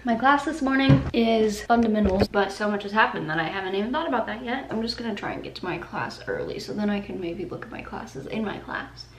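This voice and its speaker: A woman's voice: mid-range voice, animated, fairly quick, hardly any pauses. A woman in her twenties with an American accent chats casually to her camera in a candid, rambling, slangy way. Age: 20s. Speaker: vlogger, nursing student. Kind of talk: casual chatty vlog. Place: small apartment kitchen and bedroom, indoors. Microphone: camera at arm's length or on counter. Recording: echoey room, noticeable background noise.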